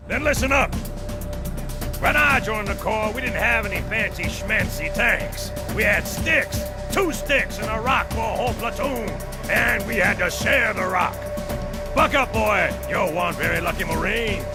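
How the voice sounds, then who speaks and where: Cocky high-pitched marine sergeant, barking. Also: male voice, measured pace. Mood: preaching, boastful. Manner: barking, theatrical drill-sergeant swagger. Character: gruff, cocky, rousing marine sergeant, folksy and salty. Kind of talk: video game cutscene acting. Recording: loud music over the dialogue.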